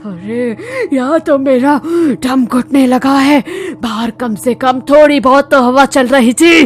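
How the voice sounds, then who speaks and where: A woman voicing a comfort-loving, grumbling cartoon witch who exclaims and talks to herself in a drawn-out, dramatic way. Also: sits high. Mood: frustrated. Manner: exclaiming, self-talking, drawn-out dramatic delivery. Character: heat-weary female witch, grumbling, comfort-loving, childishly delighted. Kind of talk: Hindi cartoon moral-story acting.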